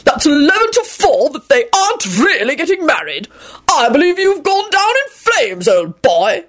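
A pompous, gloating man as a female narrator plays him in a storybook. He is excitable, with a high pitch that swoops widely. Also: normal speed. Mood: triumphant. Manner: triumphant, old-fashioned pompous phrasing.

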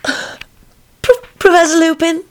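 A woman's voice: extremely high pitch, slow pace, pitched above the performer's own voice. A woman voicing a flustered young mother in an improvised story scene. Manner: breathless, flustered.

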